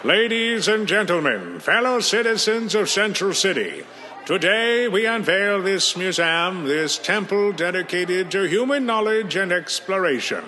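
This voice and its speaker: An actor playing a pompous middle-aged mayor in a radio drama, who speaks in slow, stately speech cadences. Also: male voice, high-pitched, lively intonation, bright, forceful tone, a faint crowd, exaggerated voice. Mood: grandiose.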